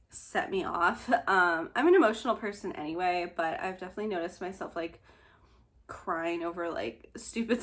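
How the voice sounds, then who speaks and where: A woman's voice: lowish voice, a bit bright. Chatty young woman, slightly nasal. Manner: chatty, laughs often, many fillers. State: a little short of breath. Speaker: vlogger. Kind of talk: casual pregnancy update vlog.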